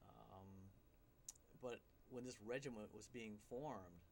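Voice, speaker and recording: A middle-aged man with a lowish voice sits at a desk in a small TV studio, explaining at a steady, measured pace. His talk is dotted with ums and restarts. A faint hiss of noise sits under the voice. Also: gravelly. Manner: measured, explanatory, many fillers. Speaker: guest filmmaker. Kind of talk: studio interview.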